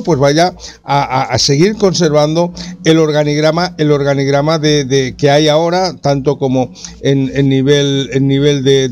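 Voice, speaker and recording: A man's voice: a little high, a little mellow. A man from Spain with a Spanish accent, hosting a sports talk radio show with many hesitations and restarts. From Catalonia, Spain.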